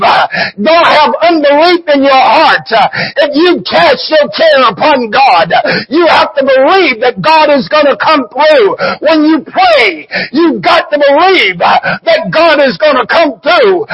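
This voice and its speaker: A man preaching a fervent sermon in a chanting cadence, shouting and crying out, with a breath of "uh" between phrases, on a muffled recording. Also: very high voice.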